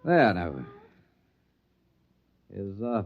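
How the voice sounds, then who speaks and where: Dreamy irish vagabond storyteller, voice swoops all over, old dull radio drama sound. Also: male voice, lowish voice, very animated, very slow, very noisy, heavily acted. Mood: gentle, jovial. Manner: lilting, poetic, theatrical storytelling. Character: whimsical, lyrical, charming male wanderer, a sprightly radiation-touched outcast. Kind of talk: 1950s radio drama acting. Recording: dull vintage 1950s radio recording.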